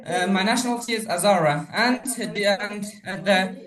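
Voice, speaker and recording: Brief: man, guest speaker, slow and halting, distant echoey video call audio. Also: high-pitched, crowd noise. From Afghanistan. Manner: slow, halting, many fillers. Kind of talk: unscripted online talk.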